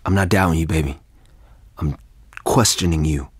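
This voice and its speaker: A careful american husband, husky voice, keeps questioning, audio drama, close dry sound. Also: male voice, very deep voice, moderate pace, no room sound. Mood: firm, questioning. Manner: questioning, insistent. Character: cautious, questioning young husband, firm but protective. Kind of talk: naturalistic audio drama acting.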